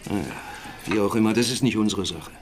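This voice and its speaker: A man's voice: fairly low pitch, a little fast, faint music behind, close to an everyday voice. An actor playing an easygoing but easily irritated young husband and father in a naturalistic radio drama, teasing and sometimes defensive.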